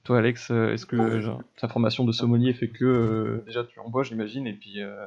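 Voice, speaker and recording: Man, gravelly voice, dull lo-fi sound, casual hesitant podcast host. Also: mid-range voice, flat delivery, fairly quick, darkish tone. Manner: asks questions, hesitant with fillers. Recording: dull lo-fi mic.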